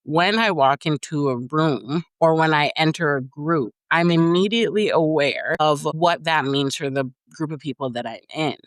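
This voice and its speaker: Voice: mid-range voice, fairly bright tone, dry recording, rough recording. Reflective podcast co-host. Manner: reflective, measured, uses "like" and "mm-hmm" a lot. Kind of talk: casual conversation on leadership.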